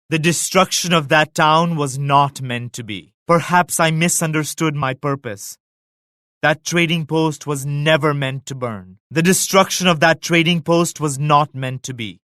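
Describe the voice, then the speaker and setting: An actor voicing a devout, dignified Mughal emperor in a video game, speaking in a solemn, formal, measured manner. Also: male voice, a little high, a bit bright, no room sound, studio quality. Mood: grave, resolute. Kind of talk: scripted video game character lines.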